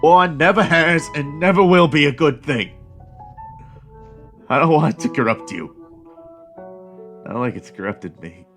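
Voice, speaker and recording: A man's voice: on the high side, unhurried, long pauses, fairly bright tone, soft background music. A man playing an earnest, protective inventor father in an audio drama, speaking in a hesitant, fatherly way. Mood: tender, earnest.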